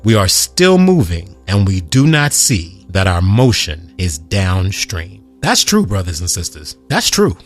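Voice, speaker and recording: A man giving a preacherly lesson, reading from a book and then commenting with emphatic repetition and calling his listeners brothers and sisters. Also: mid-range voice. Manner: emphatic, repeats phrases, addresses "brothers and sisters". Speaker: teacher reading and commenting on a self-help text. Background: faint background music.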